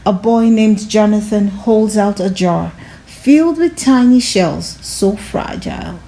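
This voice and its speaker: Female storyteller, mid-range and soft-toned, unhurried and gentle, for children's picture book read-alouds. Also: mid-range voice, rough recording.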